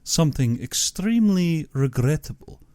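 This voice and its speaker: A man's voice: fairly high pitch, animated, normal speed, darkish tone, dry recording, voice pushed up, natural voice. A man voicing a genteel, menacing general in an audiobook, with pompous and exaggeratedly courteous speech. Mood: proud, sinister. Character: genteel, menacing aristocratic general who hunts men.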